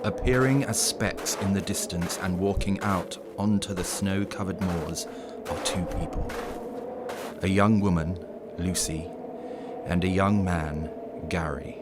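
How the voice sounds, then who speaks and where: A man narrating a scripted horror story in an ominous, measured storytelling manner. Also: low voice, very noisy.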